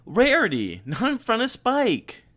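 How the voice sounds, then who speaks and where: A man's voice: very high voice, wildly swooping pitch, unhurried, a bit bright, pitched above the performer's own voice, full character voice. A man voicing an earnest, curious young female cartoon pony with an eager, questioning manner. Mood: concerned.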